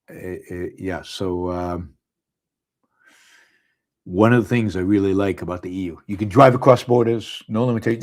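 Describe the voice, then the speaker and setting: A middle-aged man with an Israeli accent, hosting a live show in a casual, halting monologue with many fillers and restarts. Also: fairly low pitch, a little mellow. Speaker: podcast host. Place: abroad, speaking on a live stream.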